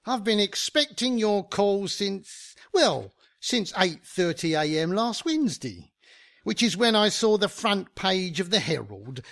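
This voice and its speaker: A man's voice: high-pitched, very animated, measured pace, vocal fry, a bit bright, full character voice. An actor in a radio comedy playing a glib, whimsical male consultant with an arch, theatrical manner. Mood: smooth, knowing.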